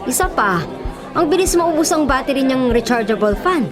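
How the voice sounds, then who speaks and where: Filipino radio-drama shopkeeper wife, kindly and practical, slightly high voice, music and bustle behind. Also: female voice, lively intonation, moderate pace, a crowd in the background. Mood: mildly complaining. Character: kindly, practical storekeeper wife, patient with relatives.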